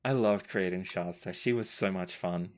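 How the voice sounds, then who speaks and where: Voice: low voice, moderate pace. A podcast host and game master reading listener questions aloud and chatting casually, in a conversational, playfully emphatic way.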